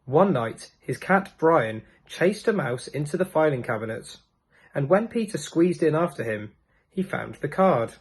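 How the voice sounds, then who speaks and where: Male storybook narrator, fairly high voice, highly animated intonation. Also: a little slow, breathy edge. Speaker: storybook reader. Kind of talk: scripted narration of a children's storybook.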